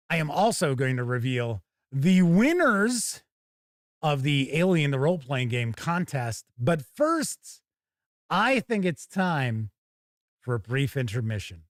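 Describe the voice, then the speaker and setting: A man's voice: a little high, no room sound, clean studio sound. An American man hosting a livestream in casual, rambling chat, acting out remarks as he goes. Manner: rambling, acts out quoted remarks. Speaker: livestream host and game reviewer.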